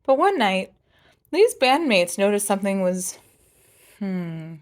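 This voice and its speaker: Lady reading a kids picture book out loud, playful n dramatic, does jazzy little sound effects, mid voice. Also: medium pitch, big pitch swings, slow. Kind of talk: expressive picture-book read-aloud.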